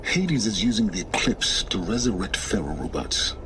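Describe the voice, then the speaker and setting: Learned informant, low voice, cool and calculating, wry, deliberate phrasing, game dialogue, slight background noise. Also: male voice, sing-song. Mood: cool, grave. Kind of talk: video game voice acting, measured dialogue.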